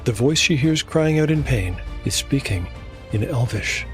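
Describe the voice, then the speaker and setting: A man hosting and narrating a scripted dark fantasy tale in a measured, solemn storytelling manner. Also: mid-range voice, expressive. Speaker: host and narrator. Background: background music.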